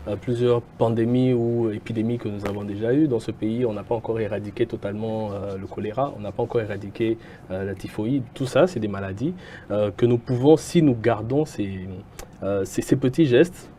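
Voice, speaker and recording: Un homme originaire du Kasaï, au Congo, invité d'une interview, qui répond avec beaucoup d'hésitations. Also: male voice, lowish voice, brisk, mellow, a little hiss or hum. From Kasai, Congo. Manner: hesitant, many filler sounds. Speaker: guest, entrepreneur. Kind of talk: interview answers.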